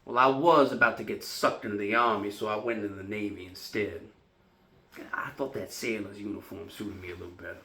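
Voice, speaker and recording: A man acts out an anxious young American sailor home from service, wistful about fading youth. The stage monologue has a bright voice and rings in a roomy, echoing space. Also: lowish voice, fairly even intonation, fairly quick. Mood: brooding. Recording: roomy, echoing solo room recording.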